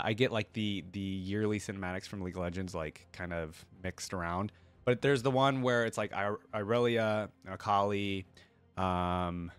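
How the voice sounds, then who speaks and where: A man's voice: on the low side, no echo. A man hosting a reaction video, casually commenting and reading game lore aloud in an informal way. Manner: informal, thinking aloud with asides.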